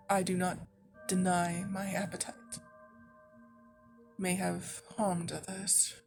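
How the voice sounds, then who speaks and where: A woman voicing a tender, guilt-ridden vampire baroness in a soft, halting ASMR roleplay monologue. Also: medium pitch, gravelly. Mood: firm, earnest. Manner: halting, soft-spoken, confessional, slightly formal. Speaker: audio roleplay performer.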